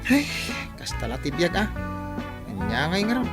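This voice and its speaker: A woman's voice: lowish voice, very animated, moderate pace, over music. A woman acting a grumbling, nonchalant relative in an Ilocano radio comedy, with an Ilocano accent. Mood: dismissive.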